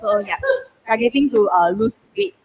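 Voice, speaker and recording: A woman's voice: fairly high pitch, expressive, normal speed, slightly rough, muffled recording. A woman, introducing herself in a chatty, candid way. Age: 20s. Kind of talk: casual self-introduction.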